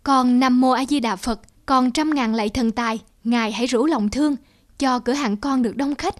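A woman's voice: sits high, moderate pace, natural voice. A woman voicing a devout, short-tempered grandfather who keeps a shop, in a cartoon moral tale, with formal, pleading phrasing. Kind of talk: animated moral tale dubbing. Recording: music behind the voice.

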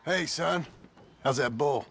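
A man acting a humble, plain-spoken former outlaw turned rancher in a video game, with a gravelly American voice and halting, polite delivery. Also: fairly high pitch, fairly bright tone, slight background noise, exaggerated voice. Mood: humble, hesitant.

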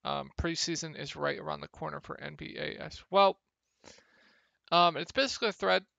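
A young man with a slightly hoarse, gravelly voice, casually talking through fantasy football picks over a screen share, with many ums. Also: on the high side, normal speed, dry recording, highs cut off. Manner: relaxed, lots of ums. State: a little hoarse. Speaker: fantasy sports analyst.

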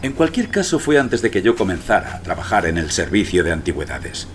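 An actor playing an eloquent, romantic archaeologist who narrates his own story in a poetic, measured way. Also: low voice, big pitch swings, slight background noise. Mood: recounting. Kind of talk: dramatic first-person scripted narration.

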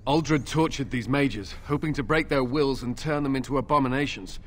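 An actor playing a fervent, distrustful templar knight in a fantasy game, urgent and accusing. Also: male voice, medium pitch, moderate pace, a bit bright, a little hiss or hum, close to an everyday voice. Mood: bitter.

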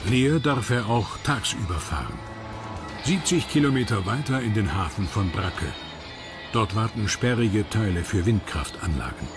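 A man reading scripted documentary narration in a lively voice over music. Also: lowish voice, normal speed.

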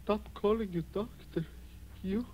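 High-pitched, whining young man. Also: a little slow, darkish tone. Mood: agitated. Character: cowed, unhinged young man blaming his aunt. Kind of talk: 1940s radio acting. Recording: dull, noisy 1940s radio recording.

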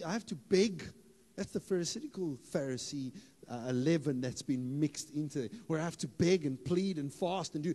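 A man, preaching a lively sermon on a church stage into a handheld mic, playful and building to loud emphatic bursts. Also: a little high. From South Africa. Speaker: pastor. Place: church hall stage with audience. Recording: hall reverb, live church PA.

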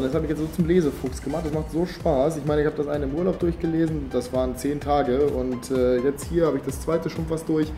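A man's voice: on the high side, warm tone. A young man chatting casually to the camera in an upbeat, slangy way, with a slight cold. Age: young adult. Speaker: vlogger. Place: outdoors on residential streets and indoors in a living room. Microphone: phone or camera at arm's length.

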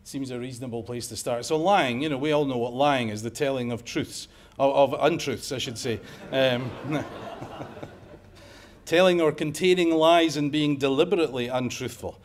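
A man's voice: medium pitch, moderate pace, slight background noise. A middle-aged man from Scotland with a Scottish accent, giving a conference talk in a lecture room with wry, joking asides. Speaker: emergency medicine professor giving a lecture.

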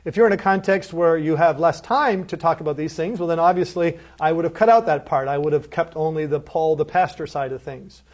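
A man's voice: fairly high pitch, fairly quick, hardly any pauses. A man giving a lecture on preaching. He talks in an earnest, teacherly way and often asks the listeners rhetorical questions. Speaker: seminary lecturer.

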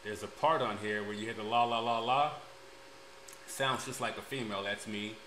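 A deep-voiced man casually walking through a music-software tutorial from his home studio, laid-back and rambling with plenty of fillers. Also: fairly even intonation, moderate pace. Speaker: music producer. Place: home studio room, indoors. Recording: echoey room with background noise.

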